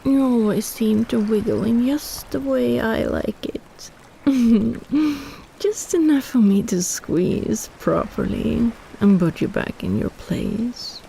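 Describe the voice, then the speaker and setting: A woman voicing a possessive, flirtatious female kraken in a romantic roleplay audio, teasing in a sultry, playful, unhurried way with sighs. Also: fairly high pitch, expressive, vocal fry, dark tone, a little hiss or hum, full character voice, poor audio.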